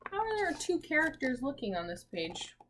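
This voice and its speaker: A woman in her twenties, a teacher reading a picture book aloud and asking children simple questions with pauses for answers. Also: sits high, big pitch swings, fairly bright tone, slight background noise. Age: 20s. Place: small room at home, indoors.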